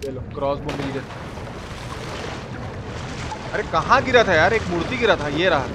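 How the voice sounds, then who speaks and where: A man giving excitable, repetitive, slangy live game commentary as a streamer. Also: on the high side, quick pace. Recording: clear background noise.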